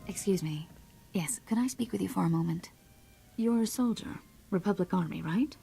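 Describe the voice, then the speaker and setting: A woman's voice: mid-range voice, normal speed, vocal fry, dark tone, breathy edge. An actress voicing an anxious, polite and earnest female starship passenger in a video game. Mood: nervous, polite. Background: game music.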